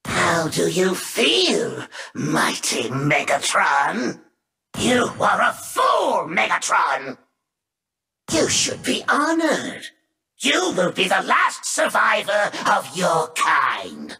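A power-hungry robot villain, shouting in a piercing, theatrical voice that swoops wildly. It is pompous video game declaiming, slow and echoey. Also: male voice, wildly swooping pitch, creaky, heavily acted. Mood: haughty, commanding. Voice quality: shrill, piercing. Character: arrogant, power-hungry male robot villain, treacherous schemer. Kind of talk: video game character lines.

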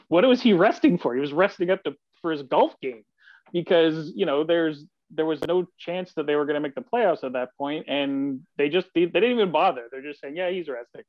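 A man in his forties chats casually about sport as a podcast guest. His voice is a bit higher than average. The video-call audio is dry and slightly dull. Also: fairly quick, no echo. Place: small home office, video call.

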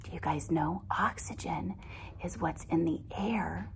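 Female teacher, thirties, expressive picture-book read-aloud for young children, unhurried, with background noise. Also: fairly low pitch, creaky, rough recording. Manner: expressive, explains and asks children simple questions. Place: indoor classroom with alphabet wall displays.

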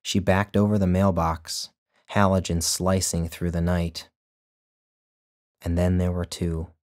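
A man, the author, narrating his own literary short story in a measured, even way. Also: low-pitched.

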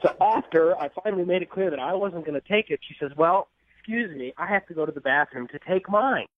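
A man's voice: high-pitched, lively intonation, quick pace, no echo, phone-quality sound. Exasperated male radio phone-in guest, swooping intonation, casual comic storytelling. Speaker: phone-in guest recounting a bad date.

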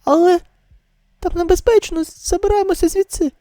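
A woman voicing a frightened, excitable young survivor fleeing monsters in an amateur manga dub. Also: very high voice, normal speed, dry recording, voice pushed up. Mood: urgent alarm. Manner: urgent, excitable, exclaiming.

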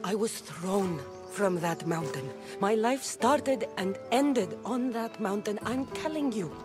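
A woman's voice: mid-range voice, expressive, takes it slowly, over music. Blunt young warrior woman. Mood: intense, troubled. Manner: blunt, assertive. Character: tough, defiant young Greek woman mercenary. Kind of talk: video game cutscene acting.

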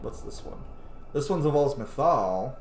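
A man's voice: medium pitch, expressive, a little slow, a little hiss or hum. A man in his thirties giving casual let's play commentary, thinking aloud as he plays a role-playing game. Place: indoor home room. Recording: echoey room, game music behind.

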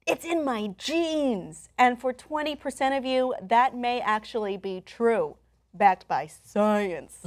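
A young woman presenting conversationally from notes as co-host of a talk show, recorded in a studio. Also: a little high. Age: young adult. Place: TV-style studio, indoors.

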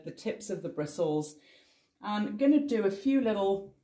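Lowish-voiced woman teaching painting in an echoey room. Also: darkish tone. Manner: explanatory, reassuring, thinking aloud while working. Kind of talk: step-by-step painting tutorial. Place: indoor studio in front of a cloth backdrop.

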